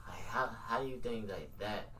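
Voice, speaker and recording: A man hosting a casual podcast interview, recapping and asking questions in a halting way, rephrasing as he goes. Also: lowish voice. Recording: distant mic, noisy background ambience.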